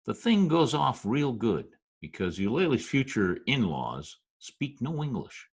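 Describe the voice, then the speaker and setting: A man playing a wisecracking Broadway hustler who narrates his tale in old-time radio drama style, full of street slang and deadpan turns. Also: low-pitched, husky, full character voice. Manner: folksy present-tense slang, deadpan storytelling. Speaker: narrator and supporting character. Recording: music behind, home video-call radio play.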